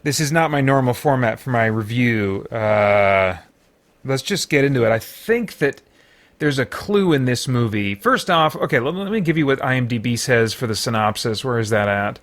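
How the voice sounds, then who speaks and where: An American man hosting an unscripted movie review in a halting way, searching for words and letting thoughts trail off. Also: medium pitch, animated, fairly bright tone. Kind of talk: unscripted, off-the-cuff film review.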